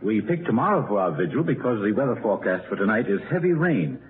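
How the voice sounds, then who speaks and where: An actor playing an earnest, formal ghost researcher in a 1930s radio drama. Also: male voice, fairly low pitch, sing-song, quick pace. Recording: scratchy, tinny 1939 radio broadcast.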